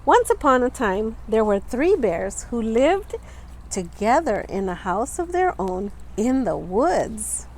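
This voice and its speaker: Female storytime reader, lively intonation and unhurried delivery, clearly stressing key words for small children. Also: fairly high pitch, slight background noise, rough recording. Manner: expressive, deliberate, stressing and stretching words for young listeners. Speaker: storytime reader, teacher reading a picture book aloud. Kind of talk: children's storybook read-aloud with asides about the pictures.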